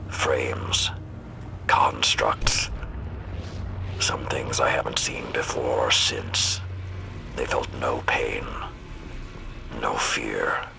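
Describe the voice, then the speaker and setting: An actor playing a solemn, haunted old veteran warlord in a video game, speaking gravely and deliberately, at times breathy. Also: male voice, rumbling low, noisy background, full character voice. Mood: grim, haunted. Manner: grave, slow, deliberate phrasing. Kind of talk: cinematic video game voice acting.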